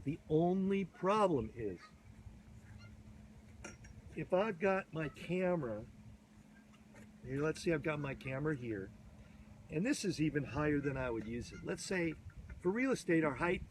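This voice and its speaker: A man in his fifties, a bit hoarse from a cold, giving an enthusiastic, rambling gear tutorial outdoors on a field, filmed on a phone at arm's length. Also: fairly high pitch, a little hiss or hum, poor audio. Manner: chatty, enthusiastic, rambling with restarts. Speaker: photography instructor. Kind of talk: casual gear tutorial to camera. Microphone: phone or camera at arm's length.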